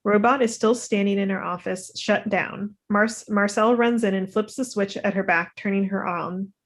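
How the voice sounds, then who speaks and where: A woman's voice: medium pitch, steady tone, normal speed. A young woman reads stage directions as a narrator. Her voice sits in the middle range, and she is speaking over a video call. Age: young adult. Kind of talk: scripted narration.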